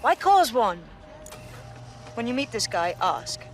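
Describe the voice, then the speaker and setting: An actress playing a blunt, sweary, sardonic resistance leader with a British accent in a video game. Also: female voice, on the high side, big pitch swings, takes it slowly, a little hiss or hum. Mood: blunt, skeptical.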